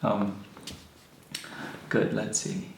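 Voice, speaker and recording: Gravelly low male interviewer, roomy. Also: monotone, very slow, darkish tone, a little hiss or hum. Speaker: interviewer and show host. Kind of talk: casual interview hosting. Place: own recording studio.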